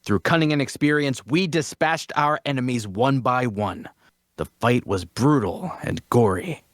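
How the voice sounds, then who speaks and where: A man's voice: medium pitch, lively intonation, unhurried, no room sound, natural voice. The puffed-up hero holding forth in a fantasy audio drama, swooping through his grand tale in dry, close sound as a man acts him out. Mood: grave, dramatic. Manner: grandiose, theatrical storytelling. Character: self-important male adventurer spinning a heroic tale. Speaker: actor at a table read. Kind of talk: dramatic fantasy dialogue reading.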